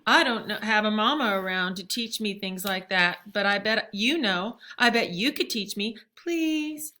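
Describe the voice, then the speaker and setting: A woman's voice: medium pitch, animated, unhurried, pushed and bright. A woman reading a storybook as an eager, pleading young girl with folksy, childlike phrasing.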